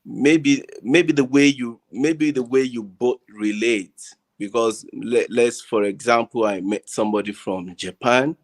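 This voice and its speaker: A man with a Nigerian accent talking casually as a panel guest, haltingly repeating words as he searches for his phrasing. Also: medium pitch. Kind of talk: casual discussion. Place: online group video call.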